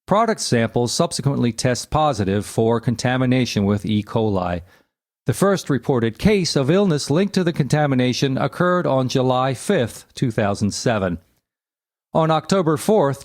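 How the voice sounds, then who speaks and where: A man narrating an encyclopedia article aloud in a measured, factual, scripted delivery. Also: mid-range voice, dry recording, clean studio sound.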